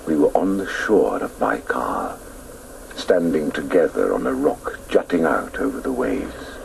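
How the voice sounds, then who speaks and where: Reflective man telling his boyhood story on radio, really low slow voice, bit dramatic. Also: very animated, creaky, slight background noise, exaggerated voice, rough recording. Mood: wistful. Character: wistful grown man recalling his boyhood.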